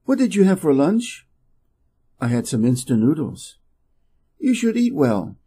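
A man from Wisconsin with an American Midwest accent, an English teacher reading practice dialogues slowly and playing both parts. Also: sing-song, mellow, slightly rough. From Wisconsin, USA. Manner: reads both dialogue parts, raising his pitch for speaker A.

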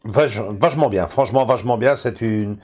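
A man's voice: medium pitch, moderate pace, a little mellow. A middle-aged man, hosting a casual film-collecting chat in a living room, full of fillers and restarts. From Belgium. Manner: hesitant, many fillers and restarts. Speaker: host.

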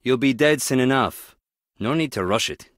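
A man's voice: mid-range voice, animated, moderate pace, a bit bright, dry recording, clean studio sound, close to an everyday voice. A man voicing a cocky, eager young man in an adventure video game. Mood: cocky, teasing.

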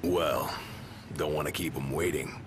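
A man voicing a big, tough, laid-back young bodyguard in a video game, gruff, casual and blunt. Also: extremely low pitch, fairly even intonation, a little slow, noisy background. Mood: gruff, easygoing.

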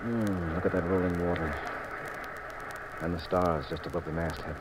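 An actor playing a teasing, easygoing detective husband in a mid-century radio mystery. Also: male voice, sits low, fairly even intonation, normal speed, close to an everyday voice. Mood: teasing, romantic. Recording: noisy old radio recording.

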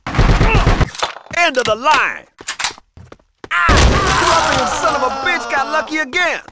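An actor playing a cocky, vain action cop in a video game, quipping in a sardonic, punchy way. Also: male voice, very high voice, lively intonation, takes it slowly, heavy background noise, heavily acted. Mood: combative, exasperated. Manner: sardonic, punchy, foul-mouthed. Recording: game audio under loud gunfire.

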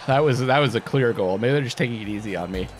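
A man's voice: medium pitch, fast talker. A Canadian man commentating as he plays a hockey video game, thinking aloud and yelping at the plays. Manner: excitable, thinks aloud, laughs and yelps at plays. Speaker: gaming channel host. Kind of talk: let's play commentary. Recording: game music playing behind.

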